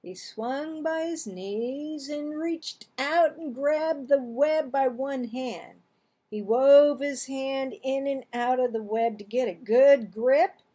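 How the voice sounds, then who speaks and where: A woman's voice: high voice, slow pace, dark tone. A woman in her sixties reading a children's book aloud, expressively acting out the dialogue and action. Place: small home room, indoors.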